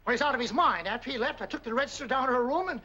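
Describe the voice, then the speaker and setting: A man's voice: extremely high pitch, expressive, very fast, sharp tone, highs cut off, a little hiss or hum, heavily acted. An actor playing a cranky, evasive male night clerk with folksy, grumbling American speech, in an old 1940s film. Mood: defensive, whining. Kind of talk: 1940s film noir acting. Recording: old film soundtrack with faint music.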